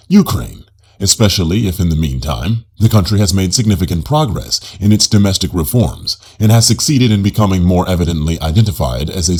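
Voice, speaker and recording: A man reading a nonfiction audiobook in a formal, measured manner. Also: sits low, darkish tone, husky. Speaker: audiobook narrator.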